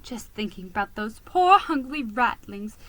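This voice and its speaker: A woman giving an expressive, theatrical reading of a children's story. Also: fairly high pitch, unhurried. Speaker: storybook reader.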